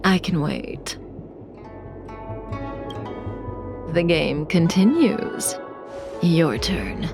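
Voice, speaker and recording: Cool mysterious game villainess, lowish voice, measured n teasing, music playing behind. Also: female voice, animated, gravelly, full character voice. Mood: coolly playful. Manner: measured, composed, coolly playful. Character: calm, enigmatic, teasing woman, a manipulative mastermind. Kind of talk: video game voice acting. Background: game soundtrack music.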